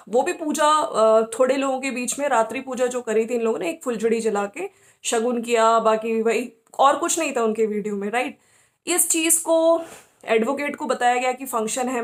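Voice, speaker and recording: A woman hosts a commentary video. She talks conversationally and gives her opinions, often adding small tags to check that listeners follow. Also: high-pitched. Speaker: YouTube commentary host. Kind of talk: informal opinion commentary on family vloggers.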